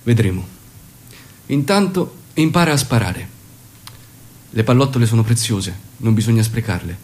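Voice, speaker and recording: An actor playing a commanding, shrewd guerrilla leader in a stage drama, speaking in a measured, authoritative way. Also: male voice, mid-range voice, lively intonation, a little mellow, slight background noise, natural voice. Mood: commanding, instructive. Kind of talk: theatrical dramatic acting and monologue.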